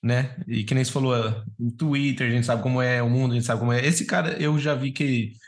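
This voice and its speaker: A man's voice: mid-range voice, steady tone, brisk. A man with a Brazilian Portuguese accent chatting casually and informally as a podcast co-host, using plenty of slang. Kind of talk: casual chat.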